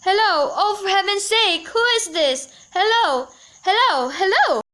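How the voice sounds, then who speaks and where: A woman's voice: very high voice, lively intonation, unhurried, pushed and bright, heavily acted. A woman acting an anxious, demanding, self-pitying invalid wife in an amateur radio play, agitated and querulous. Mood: irritated, frantic. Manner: agitated, querulous, exclamatory, repeating herself.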